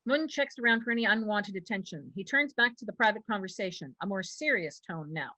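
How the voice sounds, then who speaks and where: A woman's voice: mid-range voice, lively intonation, a little fast, sharp tone, low-quality recording. A woman reading scripted narration and stage directions for a fantasy table read.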